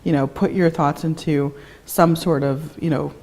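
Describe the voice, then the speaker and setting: A woman's voice: deep voice, fairly even intonation, normal speed, a little mellow. A woman hosting a talk show, asking guests questions in a loose, rambling way with frequent fillers. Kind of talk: casual interview hosting.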